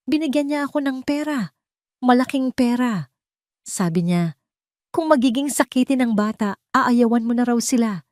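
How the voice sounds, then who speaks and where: A woman's voice: a little high, animated, moderate pace, long pauses, darkish tone, no room sound, studio quality, pitched above the performer's own voice, natural voice. A woman voicing a scared, guilty poor woman who pleads tremblingly and deferentially in an audio drama. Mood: tearful confession.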